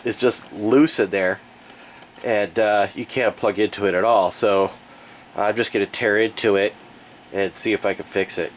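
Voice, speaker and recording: A man's voice: on the low side, slight background noise. A man with a cold and a stuffy nose, chatting casually and a bit aggravated as he reviews and fixes a generator in his garage. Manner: folksy, rambling, aggravated. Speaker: home DIY reviewer. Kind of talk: casual product review and repair. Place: garage indoors. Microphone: camera mic, off-screen.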